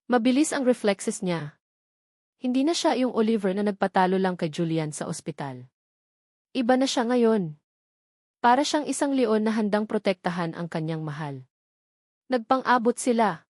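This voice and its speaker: A woman's voice: mid-range voice, animated, moderate pace, pauses often, a little mellow, dry recording, clean studio sound. A woman telling a first-person dramatic love story in an earnest storyteller's voice.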